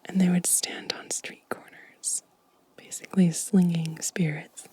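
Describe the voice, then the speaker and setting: A woman softly whispering as an ASMR host, gentle and unhurried, with a breathy voice close to the microphone. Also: fairly low pitch, level tone. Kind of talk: soft-spoken whispered ASMR show and tell.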